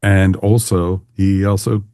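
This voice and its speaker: A man's voice: deep voice, level tone, slow pace, mellow, smooth, no room sound, studio quality. A man hosting a podcast, asking questions in casual chat and agreeably backing up what the guest says.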